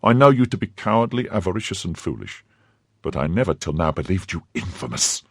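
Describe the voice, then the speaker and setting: A man voicing an indignant young wife who scolds her husband, pushing his husky voice higher. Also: sing-song, normal speed, dry recording, clean studio sound, full character voice. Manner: scornful, pleading and scolding by turns. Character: young woman, indignant, fiercely loyal wife.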